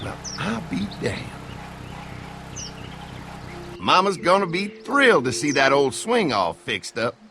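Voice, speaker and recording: An actor playing a friendly, easygoing rural dairy farmer with a folksy Southern US drawl in a video game. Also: male voice, a little high, very animated, normal speed, background noise. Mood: friendly, pleased.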